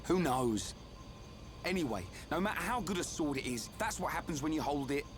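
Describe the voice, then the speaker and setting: An actor playing a blunt, brash young British soldier in a video game cutscene, talking in a colloquial, blokey way. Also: male voice, fairly high pitch, lively intonation, moderate pace, natural voice. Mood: puzzled, casual. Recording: game music under the voice. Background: loud background music.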